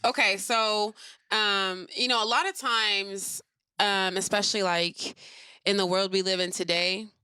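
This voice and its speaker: Bright, smooth young woman co-host. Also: medium pitch, fairly even intonation, measured pace, dry recording. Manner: agreeable, prompting questions. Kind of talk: casual podcast interview.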